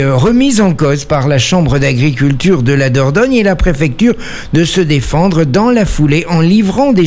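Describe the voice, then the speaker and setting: Higher-voiced male radio host. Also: a little mellow. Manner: smooth read bulletin, hesitant with fillers when interviewing. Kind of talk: news reading and radio interview. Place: radio studio.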